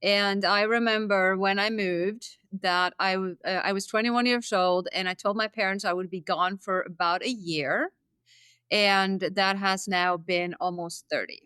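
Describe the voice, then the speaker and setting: A man in his fifties from Norway, a college dean, speaking in a measured way with dry humor in a casual interview. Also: high-pitched, steady tone, bright, forceful tone, clean tone, no echo. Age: 50s. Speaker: dean of academics.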